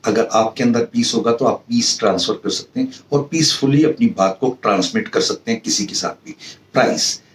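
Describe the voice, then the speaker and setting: A man gives an earnest, didactic motivational lecture, pausing often and repeating key phrases, mixing in English terms. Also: mid-range voice. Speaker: professor giving a motivational talk. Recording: roomy, distant mic, faint music behind.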